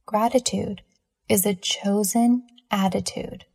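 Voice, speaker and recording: A woman leading a scripted guided meditation in a measured, soothing manner with deliberate pauses between phrases. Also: medium pitch, steady tone, gravelly, darkish tone, breathy edge.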